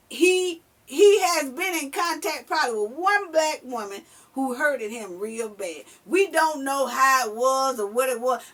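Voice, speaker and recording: A woman's voice: high voice, bright, forceful tone. A woman giving a blunt, emphatic commentary in reply to another video, repeating her key phrases for force and now and then raising her voice. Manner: emphatic and repetitive, hammering phrases home; blunt and profane.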